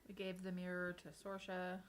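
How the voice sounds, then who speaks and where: A woman's voice: on the low side, completely flat delivery, normal speed, a little hiss or hum. A woman chatting casually as a player in a tabletop role-playing game. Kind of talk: casual banter.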